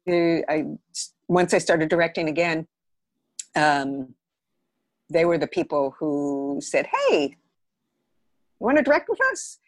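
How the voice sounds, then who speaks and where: A woman's voice: deep voice, sing-song, slow, long pauses, no echo. A woman with an American accent, a podcast guest and theatre director chatting casually about her work. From Los Angeles area, United States. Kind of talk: casual interview, telling career stories.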